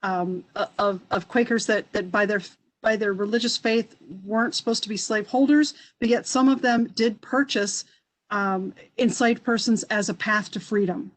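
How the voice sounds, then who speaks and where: Halting woman historian on a video call. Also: medium pitch, fairly even intonation, poor audio. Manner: halting, many restarts and fillers. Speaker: historian guest speaker. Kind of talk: online panel discussion.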